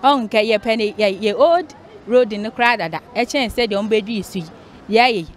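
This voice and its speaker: Halting, higher-voiced young Ghanaian woman. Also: a faint crowd. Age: young adult. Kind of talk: street interview. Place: outside a dress shop.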